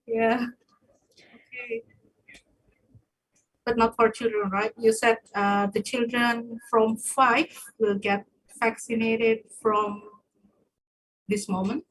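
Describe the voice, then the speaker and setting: A woman interviews a guest over a video call, with a slightly high and breathy voice. She keeps things moving with quick "okay"s and "mm-hmm"s before asking her next question. She comes across as a webinar host. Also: flat delivery, a bit bright, rough recording. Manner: short backchannels and questions.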